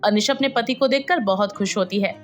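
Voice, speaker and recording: A woman's voice: mid-range voice, fairly quick, soft background music. A woman narrating a scripted story as its storyteller.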